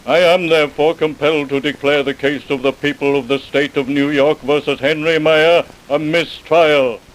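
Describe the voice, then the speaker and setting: A man playing a stern, solemn judge in an old-time radio drama, formal and measured. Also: fairly high pitch, fairly even intonation, natural voice, rough recording. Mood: stern, grave.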